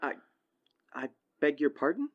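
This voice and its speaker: Man as a lilting, higher-pitched telephone operator. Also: sing-song, a little slow. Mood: polite, formal. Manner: formulaic, courteous. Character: polite, formal telephone operator. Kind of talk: radio drama acting. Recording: noisy, dull telephone-line sound with clicks.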